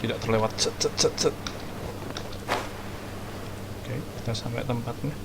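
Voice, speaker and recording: A man's voice: mid-range voice, fairly even intonation, rapid-fire, heavy background noise. An Indonesian man doing casual, slangy let's play commentary, muttering along with the action of the game. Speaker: gaming streamer. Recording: loud game music behind voice. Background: video game music.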